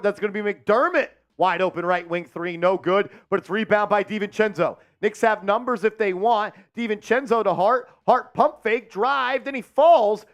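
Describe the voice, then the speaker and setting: A man hosting a live sports watch-party stream, chatting with viewers, reading the chat and calling plays excitedly. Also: high voice.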